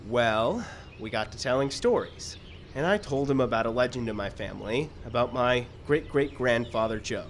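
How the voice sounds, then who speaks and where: A man's voice: mid-range voice, lively intonation, normal speed, close to an everyday voice. A man playing a fussy, suspicious, aggrieved screenwriter in a live radio-style comedy, plaintive and literal-minded. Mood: storytelling. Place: outdoor park stage. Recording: outdoor live show with background noise. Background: birds and outdoor ambience.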